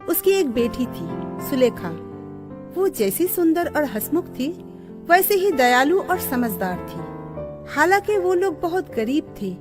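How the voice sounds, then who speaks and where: High, animated woman storyteller over music. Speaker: narrator. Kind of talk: scripted storytelling narration. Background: background music.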